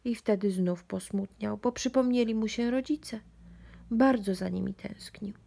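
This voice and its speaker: A woman's voice: medium pitch, measured pace, vocal fry, warm tone. A woman narrating a bedtime storybook expressively for young children.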